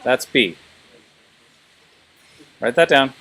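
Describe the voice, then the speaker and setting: A man's voice: mid-range voice, lively intonation, drawn-out words, heavy background noise. A man teaching a class informally, thinking aloud and prodding students with questions.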